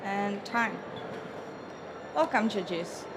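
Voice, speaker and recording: A woman with a Czech accent, a native Czech speaker, giving a careful, rehearsed barista competition presentation on stage. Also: mid-range voice. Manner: measured, careful, formal. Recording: noisy event venue ambience.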